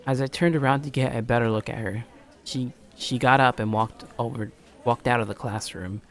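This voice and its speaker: A man's voice: mid-range voice, fairly even intonation, normal speed. A man in a casual let's play style, reading a visual novel aloud informally and stumbling now and then. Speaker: gaming streamer.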